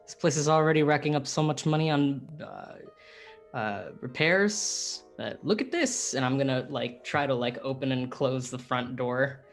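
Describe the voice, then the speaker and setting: A man with a medium-pitched voice plays a tabletop roleplaying game. He talks casually in character, with faint music in the background. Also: a little slow, vocal fry. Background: soft background music.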